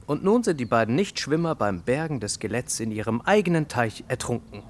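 A suave, sardonic male killer, played by an actor in a radio drama. He speaks at a middle pitch with urbane, dry amusement. His manner stays cool as he plays the imagined companion of a writer. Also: very animated, fairly quick, natural voice.

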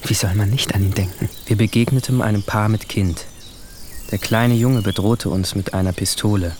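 Low-voiced brooding teenage boy, played by a man. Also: normal speed, noisy background, lightly acted. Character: withdrawn, brooding 17-year-old boy narrating his summer. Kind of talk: literary radio drama narration. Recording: music behind the voice.

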